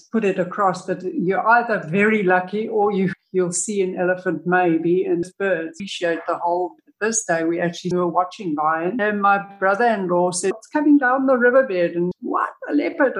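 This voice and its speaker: A woman's voice: on the low side, poor audio. An older woman from Tanzania, a podcast guest telling rambling, enthusiastic stories about wildlife sightings. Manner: enthusiastic, rambling, laughs often. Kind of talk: casual interview storytelling.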